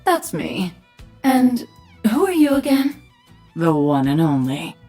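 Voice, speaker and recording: A woman's voice: medium pitch, sing-song, takes it slowly, mellow, soft background music. A woman voicing a cocky, sardonic assassin and alien queen in a video game, dry and taunting. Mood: cocky teasing.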